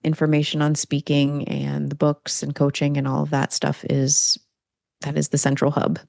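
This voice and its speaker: Female author-guest, low and gravelly, eager, list-like explanations. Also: completely flat delivery, normal speed, darkish tone, no echo. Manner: eager, affirming, explains in lists. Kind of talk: casual interview chat.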